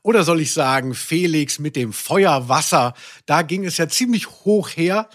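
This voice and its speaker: A man's voice: on the high side, lively intonation, unhurried, fairly bright tone. A man hosting a podcast in casual chat, wordy and playfully ironic. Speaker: podcast host.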